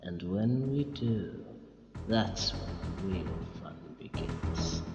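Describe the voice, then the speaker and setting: Guy doing mad scheming time lord villain for audio drama, drawn out and slow, echoey, music behind. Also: low-pitched, lively intonation, vocal fry, heavily acted. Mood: sinister, brooding. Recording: echoey hall reverb, dull sound, loud music.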